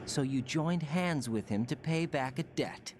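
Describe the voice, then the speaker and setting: An actor playing an earnest, bewildered young agent in a video game, questioning and intense. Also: male voice, fairly high pitch, expressive, a little slow, noisy background. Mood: earnest, probing. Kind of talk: dramatic video game voice acting.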